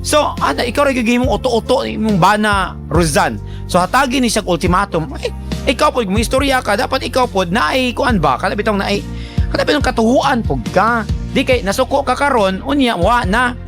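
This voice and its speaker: Bisaya guy radio host, pretty high voice, chatty teasing advice, music playing behind him. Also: normal speed, gravelly. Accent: Filipino, Cebuano Bisaya. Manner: animated, colloquial, teasing, acts out imagined remarks. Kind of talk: casual conversational advice to a letter sender.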